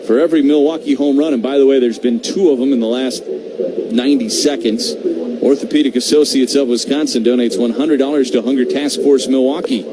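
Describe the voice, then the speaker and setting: Male baseball radio announcer, mid-pitched, conversational yet excitable, clear stadium noise behind. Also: a little fast. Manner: excited home-team calls, conversational between pitches. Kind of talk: live radio play-by-play commentary. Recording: noisy live radio broadcast feed.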